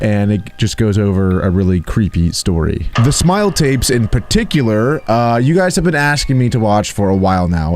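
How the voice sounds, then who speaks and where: A man giving casual, slangy reaction commentary as a video host. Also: medium pitch. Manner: slangy, addresses viewers as bro and boys. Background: music.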